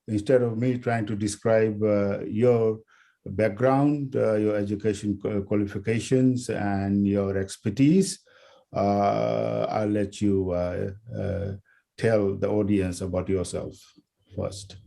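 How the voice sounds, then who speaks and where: A man from India hosts the conversation in a low, very dark and mellow voice. He talks very slowly and haltingly, filling nearly every phrase with hesitation sounds, and the pace is unhurried throughout. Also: steady tone, gravelly. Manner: halting, heavy with filler sounds. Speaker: interview host. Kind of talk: hosting a recorded dialogue.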